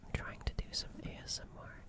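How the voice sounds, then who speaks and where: A woman doing soft whispered ASMR roleplay as a gentle, caring alien, close to the microphone, soothing and unhurried, softly repeating words. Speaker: ASMR streamer roleplaying a character.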